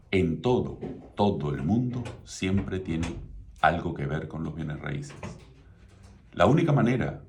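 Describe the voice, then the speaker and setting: A middle-aged man from Venezuela with a Venezuelan Spanish accent, hosting his own radio talk show in a measured, promotional way. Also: low voice, gravelly, darkish tone, a little hiss or hum. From Venezuela (Mérida). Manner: measured, promotional, repeats contact details.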